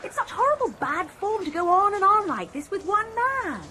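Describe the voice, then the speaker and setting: A prim gossipy girl nagging her friend, high and swoopy. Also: big pitch swings, normal speed, bright, forceful tone, a little hiss or hum, full character voice. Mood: gossipy scolding. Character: gossipy conventional young woman lecturing her friend.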